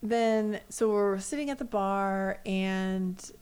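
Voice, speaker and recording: A woman's voice: medium pitch, slow pace, smooth. An American woman from the West Coast, a co-host chatting casually and telling personal stories, acting out what people said. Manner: animated storyteller, acts out quoted remarks.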